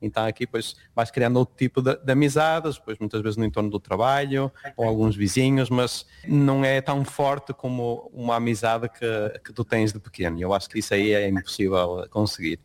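A man from Portugal, a university lecturer answering interview questions in a relaxed, conversational way with frequent restarts. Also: mid-range voice, brisk, hardly any pauses. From northern Portugal. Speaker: guest, university lecturer living abroad.

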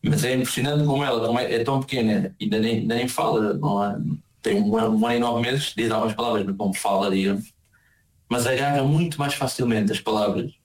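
A man's voice: mid-range voice, fairly even intonation, fairly quick. A man from Portugal with a European Portuguese accent, talking in an interview about his life abroad, often repeating words as he searches for them. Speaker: interviewee, emigrant living in Argentina. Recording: echoey room.

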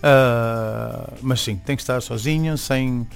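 A man's voice: medium pitch, slow pace, creaky. A young man with a Venezuelan-tinged Beira Portuguese accent, a musician and barber talking as an interview guest, hesitant with many restarts. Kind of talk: casual interview talk. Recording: faint music behind.

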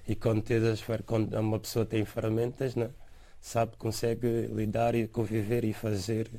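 A young man with a São Tomé Portuguese accent answers interview questions in a halting, easygoing way, letting his phrases fade out softly. His voice sits low and mellow, and it stays fairly flat in pitch. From São Tomé and Príncipe. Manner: halting, laid-back, trails off softly at phrase ends.

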